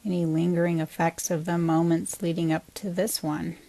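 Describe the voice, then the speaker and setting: A woman guiding a body scan meditation as a teacher, in a calm, gentle, unhurried manner with pauses between short phrases. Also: fairly low pitch, no room sound.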